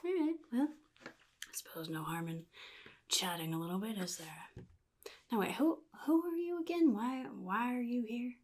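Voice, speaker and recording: A woman voicing a chaotic, jittery male clown supervillain in soft-spoken ASMR roleplay, half-whispering and rambling in halting, sly phrases. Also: on the high side, lively intonation. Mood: rambling, teasing. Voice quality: breathy, gravelly, half-whispered. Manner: halting, rambling, sly, addresses listener as kid.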